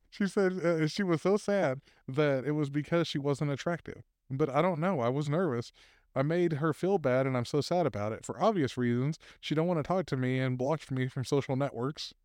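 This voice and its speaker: A man's voice: a little high, normal speed, darkish tone, dry recording, clean studio sound. A man co-hosting a casual podcast, reading posted stories aloud with fillers and laughs.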